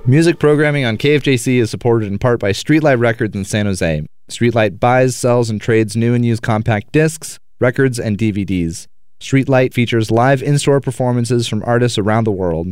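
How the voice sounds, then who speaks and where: A man reading a scripted sponsor announcement on the radio with an even, read-aloud delivery. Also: mid-range voice, moderate pace, clear, resonant tone, dry recording, clean studio sound. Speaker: station announcer. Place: radio studio.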